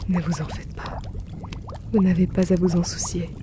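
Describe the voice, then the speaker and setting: A woman playing a polite, attentive potion shopkeeper in a gentle fantasy roleplay audio, speaking unhurriedly to her customer. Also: fairly low pitch, flat delivery, normal speed, background noise, lightly acted. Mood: attentive, welcoming. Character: courteous female potion shopkeeper in a fantasy world. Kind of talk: French roleplay audio, gentle scripted in-character address.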